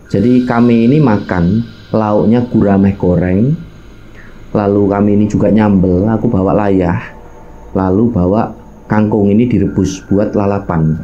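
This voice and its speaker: A man's voice: fairly low pitch, steady tone, a little slow, dull audio, slight background noise. A man with a Javanese accent, telling a personal hiking tale as a casual, colloquial storyteller who speaks directly to his listeners. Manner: colloquial, addresses listeners, acts out quoted dialogue, mixes in local phrases. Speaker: storyteller recounting his own mountain hike.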